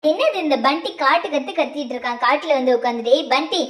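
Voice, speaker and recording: A fretful village mother from a cartoon, who scolds the children sharply, as a woman voices her. Her voice is pitched very high. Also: animated, fast, fairly bright tone. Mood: scolding. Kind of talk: cartoon voice acting.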